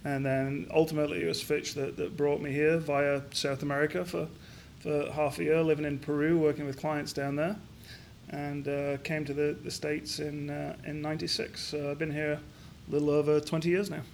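A man's voice: on the high side, level tone, moderate pace, creaky, a little hiss or hum. Middle-aged man from Yorkshire, thoughtful. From Yorkshire, England. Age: 50s. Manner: hesitant, many restarts and fillers. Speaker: business founder guest. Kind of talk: reflective interview answers.

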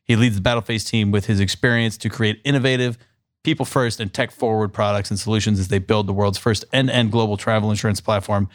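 Podcast host, a lower-voiced man. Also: fairly even intonation, fast, no echo, studio quality. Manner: reads a sponsor intro, then chats loosely with laughs. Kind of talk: casual podcast interview.

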